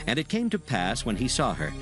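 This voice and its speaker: A man narrating dramatized scripture in a solemn, formal, measured storytelling manner. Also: medium pitch, huge pitch swings, over music.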